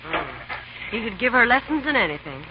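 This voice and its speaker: A woman's voice: a little high, big pitch swings. An actress playing a breezy, wisecracking young woman in a 1930s radio drama. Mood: warm. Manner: sassy, teasing and quick-witted. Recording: dull old radio broadcast recording with faint hiss.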